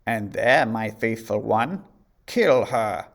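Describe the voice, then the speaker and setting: A man's voice: expressive, slow pace, creaky, exaggerated voice. A man voicing a cruel, vain and jealous queen who shrieks her threats in a storybook reading. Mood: furious. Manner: shrill commanding threats.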